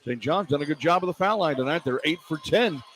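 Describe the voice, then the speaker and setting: Higher-voiced male basketball play-by-play announcer, noisy arena. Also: animated, fast talker, fairly bright tone. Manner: clipped running description of the action. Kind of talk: live basketball play-by-play commentary. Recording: noisy live arena broadcast. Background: arena crowd ambience.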